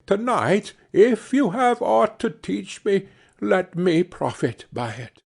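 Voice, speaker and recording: A man reading aloud puts on a humbled old miser, pitched up high and husky, pleading in a timid, submissive way. The voice rises and falls a great deal as he begs to be taught. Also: big pitch swings, slow pace, darkish tone, full character voice.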